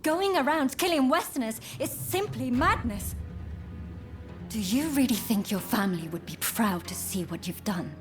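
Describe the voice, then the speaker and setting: A woman's voice: on the high side, expressive, moderate pace, over music, natural voice. An actress playing a stern, indignant woman healer of feudal Japan, reproachful and righteous, in a video game cutscene. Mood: grave, reproachful.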